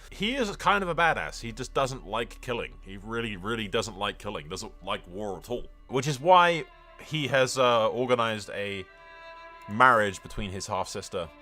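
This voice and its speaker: A man's voice: lowish voice, sing-song, normal speed, pushed and bright, faint music behind. A man hosting a gaming video, rambling casually through his commentary with sweary, jokey asides to the audience. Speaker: host of a gaming video channel.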